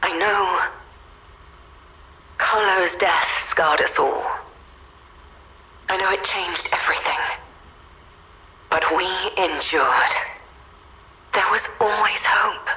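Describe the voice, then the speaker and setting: Game monologue role: self-sacrificing woman fighter, tender gravity, unhurried delivery, very sharp bright tone. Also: fairly low pitch, exaggerated voice, poor audio. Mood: wistful farewell. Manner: solemn, tender. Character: weary, self-sacrificing woman warrior leaving a farewell message. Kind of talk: dramatic video game monologue.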